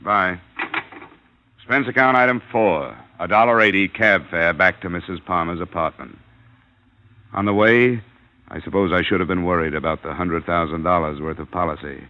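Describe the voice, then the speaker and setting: An American actor playing a tough, cynical insurance investigator in a radio crime drama, with a clipped, blunt delivery. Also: male voice, low-pitched, moderate pace, slight background noise. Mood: businesslike. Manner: clipped, hard-boiled, blunt. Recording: dull vintage radio recording.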